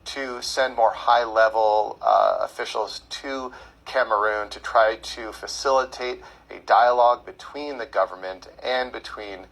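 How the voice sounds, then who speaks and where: Gravelly, measured male podcast guest. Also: medium pitch, a bit bright, rough recording. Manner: measured, explanatory, with frequent fillers. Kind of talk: answering call-in questions.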